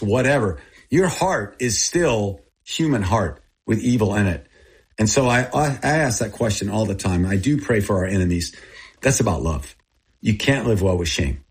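Man, 60s, raised in Thailand, native Thai speaker. Earnest, confessional interview storytelling, husky and on the low side. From born in Texas, grew up in Thailand. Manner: earnest, confessional, short plain phrases. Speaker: interviewee, missionary aid group founder.

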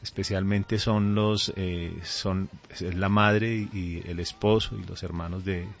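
A middle-aged man with a Colombian accent, speaking as a pastor in a radio interview in a deliberate, earnest way. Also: low-pitched, fairly even intonation, vocal fry, soft background music. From Colombia, raised there from age two. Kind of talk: radio interview, conversational testimony. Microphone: studio microphone. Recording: dull, band-limited radio audio.

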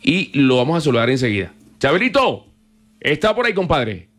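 Radio host, man, Barranquilla accent, jokey, a bit high-pitched. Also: very animated, brisk, fairly bright tone. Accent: Colombian Spanish, Barranquilla. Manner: jokey, teasing, informal. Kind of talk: casual radio talk show banter.

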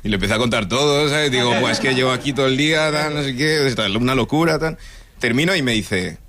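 A man's voice: mid-range voice, fast, a bit bright. A man from Nigeria who grew up partly in Spain, a native English speaker, telling stand-up stories conversationally. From Nigeria, moved to Spain as a child. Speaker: stand-up comedian. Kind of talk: stand-up comedy storytelling.

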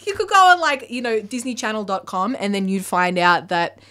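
A woman's voice: mid-range voice, fairly quick, heavy background noise. A young Australian woman, a performer, chatting casually and animatedly with bursts of laughter. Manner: bubbly, animated, laughs often. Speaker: performer and musician, guest. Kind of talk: casual chat.

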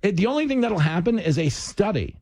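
A man with a husky, gravelly voice and a fairly high pitch talks politics in a loose, animated way. His pitch swings widely. The recording is dry and close. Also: a little fast, no room sound. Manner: animated, laughing, acts out quoted voices. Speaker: podcast host. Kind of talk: casual political chat.

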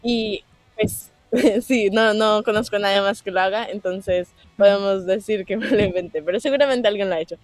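A teenage woman from Colombia talks easily about her sport, loose and chatty with frequent fillers. Her voice sits at a middle pitch and the sound is close and dry. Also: normal speed, no room sound. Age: teens. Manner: relaxed, chatty, many fillers like "sabes". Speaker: guest skateboarder. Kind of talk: casual interview chat.

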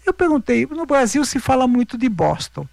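A man's voice: very high-pitched, sing-song, normal speed, gravelly, darkish tone, no room sound. A middle-aged man with a Brazilian accent telling anecdotes in a casual interview, emphatic and repeating himself. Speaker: interview guest, veteran marathon runner.

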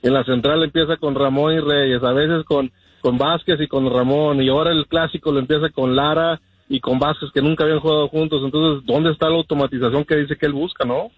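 Husky-voiced man on the phone. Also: a little high, fairly even intonation, a little fast, no room sound. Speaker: first-time caller to sports radio show. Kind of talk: casual sports opinion. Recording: dull phone call audio.

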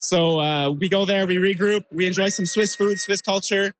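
A man speaks as a fan interviewed on the spot at an outdoor viewing party. He is hesitant and pauses with fillers. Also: sits high, steady tone, slight background noise, poor audio.

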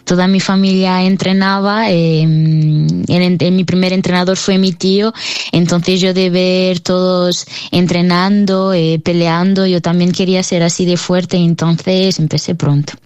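A woman's voice: lowish voice, little pitch movement, a little slow, a little mellow, smooth, no room sound, dull audio. A woman in her twenties with a Portuguese accent, a professional fighter answering interview questions with hesitant, repeated restarts. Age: 20s.

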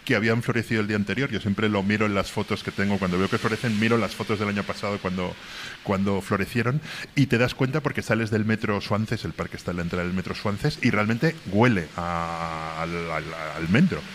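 A man talks easily in a casual conversation. He tells relaxed anecdotes and drifts along one thought to the next. Faint ambience hums behind him. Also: fairly low pitch, a little fast, a little hiss or hum.

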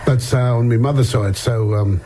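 A man, a guest on a radio interview, speaking haltingly with many repeated words. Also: mid-range voice, fairly even intonation, warm tone, slight background noise. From north coast of New South Wales, Australia. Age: 60s. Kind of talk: personal testimony in a radio interview.

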